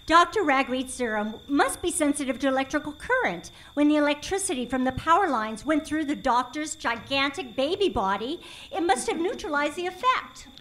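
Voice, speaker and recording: A woman playing an excitable, earnest heroine who explains mysteries in a dramatic way, in a comic radio play performed live on stage. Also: high voice, normal speed, poor audio.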